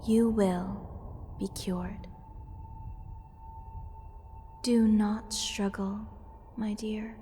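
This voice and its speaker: A woman's voice: mid-range voice, fairly even intonation, mellow, slight background noise. A woman playing a gushing, eccentric mage besotted with cursed creatures, in a rambling, whimsical fantasy ASMR roleplay addressed to the listener. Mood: soft, soothing. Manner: slow, rambling, doting, theatrically whimsical. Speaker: voice actor.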